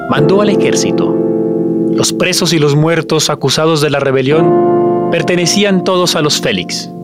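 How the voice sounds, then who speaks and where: A man's voice: fairly high pitch, normal speed. A man narrating a tragic story as a dramatic, scripted tale. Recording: loud music under the voice.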